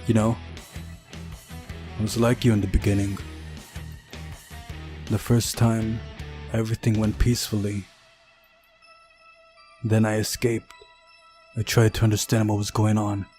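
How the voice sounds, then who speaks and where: A man's voice: lowish voice, little pitch movement, a little slow, pauses often, mellow, faint music behind, natural voice. A man voicing a mysterious, unhinged young camp pioneer in a visual novel, with a taunting, theatrical manner. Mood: intense, unhinged.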